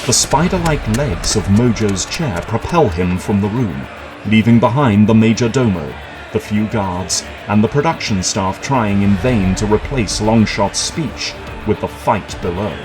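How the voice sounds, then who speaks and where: Guy narrating an audio drama, lowish voice, music playing under him. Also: animated, unhurried, low-quality recording.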